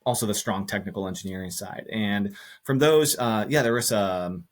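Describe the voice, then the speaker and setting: Low-voiced earnest middle-aged man. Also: moderate pace. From Costa Rica. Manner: earnest, thinks aloud with repeated words. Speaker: podcast guest, engineering professor. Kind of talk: reflective conversational interview.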